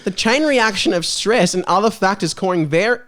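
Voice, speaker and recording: A man's voice: high voice, moderate pace, noisy background. A young Australian man, a comedian, chatting and reading aloud in a giggly, rambling way on a casual comedy podcast. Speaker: comedian, podcast guest or co-host.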